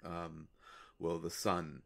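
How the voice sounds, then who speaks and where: Man playing a slow, low, gravelly vampire. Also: level tone, a bit bright, full character voice. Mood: sheepish, musing. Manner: slow, halting, musing, polite delivery with long pauses. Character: courteous, world-weary immortal male vampire, gently wry. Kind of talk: intimate roleplay audio monologue to the listener.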